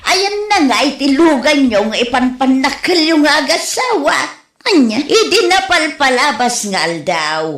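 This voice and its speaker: A woman playing a scolding, exasperated wife and mother in an Ilocano Filipino comedy audio drama, in an animated, nagging way. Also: high-pitched, measured pace, roomy sound, heavily acted.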